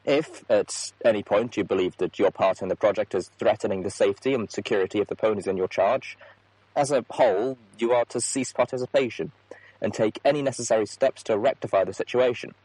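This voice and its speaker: A man voicing an earnest, rattled female pony executive in an audiobook, reading an official message in a halting manner. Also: lowish voice, darkish tone, slightly rough, no echo, voice pushed down, low-quality recording. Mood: formal, weary. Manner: halting, official script slipping into frustrated asides. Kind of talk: audiobook character monologue.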